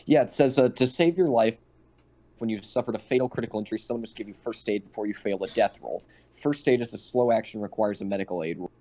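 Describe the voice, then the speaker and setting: A man's voice: fairly low pitch, fast, mellow. A man talking casually about rules as a player in a tabletop game. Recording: faint noise, clicks.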